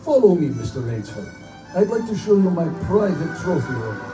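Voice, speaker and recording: Male actor as aristocratic Russian general, cold big-game hunter, courtly, theatrical, higher-pitched, distant echoey recording. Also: big pitch swings, moderate pace. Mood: coolly intense. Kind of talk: live dramatized pulp-fiction stage reading. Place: bookstore live performance before microphone. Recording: echoey live room, distant mic, music underneath.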